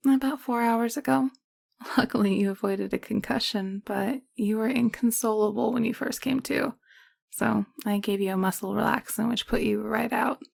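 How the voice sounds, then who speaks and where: A woman acting in an audio drama as a caring, gently firm caretaker who coaxes and reassures in a hushed way. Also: a little high, fairly even intonation, normal speed, vocal fry, breathy edge, exaggerated voice. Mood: soothing. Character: caring, soothing woman named Allie, gently teasing and firm.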